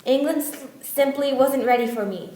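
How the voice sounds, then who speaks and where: A woman's voice: high voice, moderate pace, mellow, lightly acted. A woman playing a proud, embattled male Yankee inventor in a radio play, earnest and dramatic. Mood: grim resolve. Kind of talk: stage-style radio play acting. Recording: echoey room, faint music behind.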